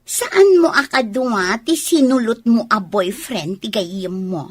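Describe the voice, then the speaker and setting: Teasing, high, swooping young woman. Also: slow pace, breathy edge, heavily acted. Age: young adult. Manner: animated, teasing. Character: teasing, nosy young female best friend. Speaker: radio drama actor. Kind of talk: Ilocano radio drama acting.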